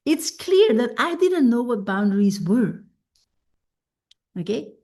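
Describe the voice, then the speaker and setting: Dutch-native woman coach, slow and thoughtful, kinda high voice, keeps asking "right?". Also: big pitch swings, a little mellow. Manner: unhurried, reflective, often asks "right?". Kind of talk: teaching a self-help masterclass.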